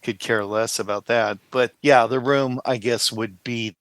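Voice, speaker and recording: A man's voice: mid-range voice, steady tone, measured pace. A man co-hosting a podcast in casual chat, musing his way through answers a little hesitantly. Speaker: podcast co-host.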